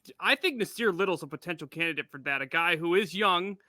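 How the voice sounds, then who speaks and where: A man's voice: high voice, expressive, quick pace, pushed and bright, dry recording, clean studio sound. A man hosting a sports show, putting questions to his guest and addressing listeners. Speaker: sports show host, interviewer.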